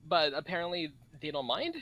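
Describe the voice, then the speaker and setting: Halting, higher-voiced man on a podcast. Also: big pitch swings, bright, forceful tone, rough recording. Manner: halting, full of pauses and repeats. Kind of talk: casual group podcast discussion.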